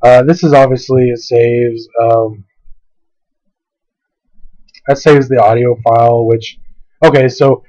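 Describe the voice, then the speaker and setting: A hoarse man casually talking through a software tutorial, rambling and hesitant with lots of fillers. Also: medium pitch, steady tone, takes it slowly, pauses often, no room sound, lo-fi sound. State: hoarse, voice going out. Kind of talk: casual screen-recorded tutorial.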